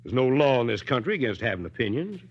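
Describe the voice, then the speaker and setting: A muffled old 1940s radio recording carries an easygoing small-town American mayor. He is folksy and drily stubborn, reasoning things out in a lively, swinging voice. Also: mid-range voice, fast talker, husky. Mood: calm, wry.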